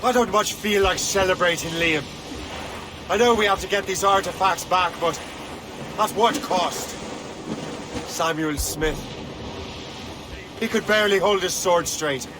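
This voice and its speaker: A man's voice: sits high, a little slow, long pauses, noisy background. An actor playing an earnest, restless young male assassin sailor in a video game cutscene, questioning and sincere. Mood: troubled.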